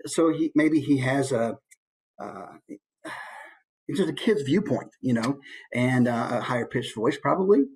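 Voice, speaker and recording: Animated man giving a casual talk. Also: medium pitch, a little slow, pauses often. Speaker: voice actor giving a presentation. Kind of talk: casual instructional presentation.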